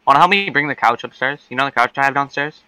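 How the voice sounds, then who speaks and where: A man's voice: mid-range voice, fast talker, bright, forceful tone, clean tone, no echo, rough recording. A young man chatting casually on a podcast, laid-back and blunt. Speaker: podcast co-host. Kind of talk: casual chat.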